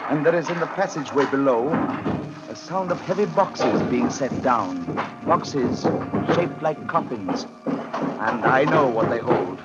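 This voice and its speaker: An actor playing a frightened young English solicitor held captive, earnest and intense in a 1930s radio drama. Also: male voice, mid-range voice, close to an everyday voice. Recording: dull 1930s radio recording with music.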